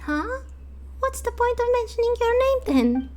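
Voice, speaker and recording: A woman's voice: very high voice, sing-song, unhurried, a little mellow, pitched above the performer's own voice, exaggerated voice. A woman voicing a small, blunt, nosy fairy companion from a video game, with a childish manner. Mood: puzzled, pouting. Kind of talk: fan voice-over of video game dialogue.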